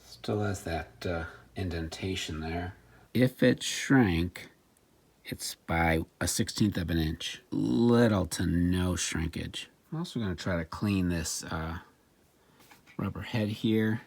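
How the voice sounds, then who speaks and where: Male demonstrator, low and a little rough-edged, casual and plain-spoken, talking through hands-on work. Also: slow pace. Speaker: repair demonstrator and narrator.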